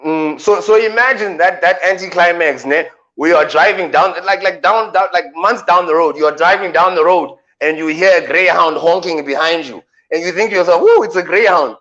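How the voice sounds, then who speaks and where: A South African man with a deep voice, a radio co-host doing playful comic banter with drawn-out punchlines. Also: fairly bright tone, highs cut off.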